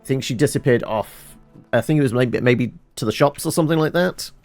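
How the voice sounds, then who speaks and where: A man's voice: mid-range voice, brisk, darkish tone. A man hosting a let's play, who rambles and corrects himself as he mutters through his inventory. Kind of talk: casual gameplay commentary. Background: game music.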